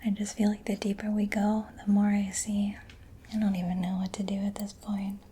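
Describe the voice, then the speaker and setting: Whispering woman, soft and mellow ASMR. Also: medium pitch, monotone. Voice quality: breathy, whispery. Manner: gentle, unhurried, murmuring personal attention. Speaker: ASMR creator playing a robot mechanic. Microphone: close-up sensitive microphone. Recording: close-up whisper with clear background noise.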